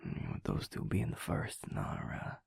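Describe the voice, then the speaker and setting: A young man playing an affectionate, cocky werewolf boyfriend in an intimate audio roleplay, casual and sweary, with a husky, hushed voice. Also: normal speed, no echo, studio quality, lightly acted. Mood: hesitant, earnest. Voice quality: husky, breathy, often near a whisper. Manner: casual, swearing, chuckling, trailing hesitations.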